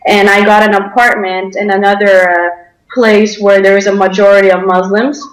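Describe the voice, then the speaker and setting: A young woman from Denmark tells of personal experience in an interview. Her voice sits at an average pitch and her delivery is hesitant and halting. The room around her is clearly echoey. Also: level tone. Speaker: interview guest. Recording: echoey, roomy sound.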